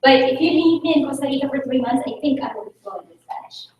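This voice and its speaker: A woman from the Philippines, a native Tagalog speaker, giving an energetic talk to a meeting and engaging the audience with rhetorical questions. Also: high-pitched, fast talker. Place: meeting room. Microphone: distant room mic. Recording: echoey room recorded from a distance.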